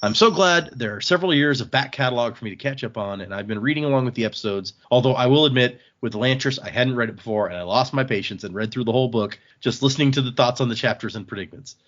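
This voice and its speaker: A man's voice: mid-range voice, quick pace, hardly any pauses, fairly bright tone, muffled recording. A man hosting a podcast, chatting casually and reading listener emails aloud in an easygoing, agreeable manner.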